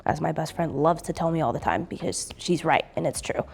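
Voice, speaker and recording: A woman's voice: deep voice, a little fast, creaky, a little mellow. Chatty upbeat young woman. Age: 20s. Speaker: co-host of couple channel. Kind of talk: casual chat.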